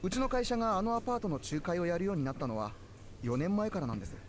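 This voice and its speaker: An actor playing a nervous, apologetic real-estate agent in a visual novel game. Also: male voice, high voice, sing-song, rapid-fire, a little hiss or hum. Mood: nervous, hushed. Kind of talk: Japanese visual novel voice acting.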